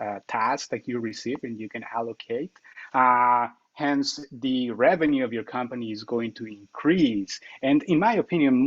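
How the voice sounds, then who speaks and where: A man's voice: medium pitch, slightly rough, no room sound, dull audio. A man from Colombia, a company consultant, answering interview questions earnestly, with many fillers and restarts. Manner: earnest, explains step by step with frequent uh fillers and restarts. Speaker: account consultant guest.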